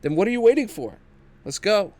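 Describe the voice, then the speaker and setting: A man's voice: high-pitched, big pitch swings, fairly quick, darkish tone, pitched above the performer's own voice. A man voicing an abrasive, stubborn starship officer, blunt and dismissive, in a sci-fi table read.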